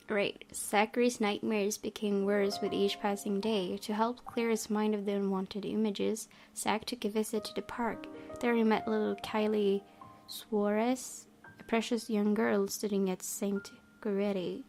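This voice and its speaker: A woman's voice: medium pitch, level tone, measured pace, darkish tone. A woman hosting a game playthrough, reading story narration expressively and adding casual commentary. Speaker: gaming video host. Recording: game music behind.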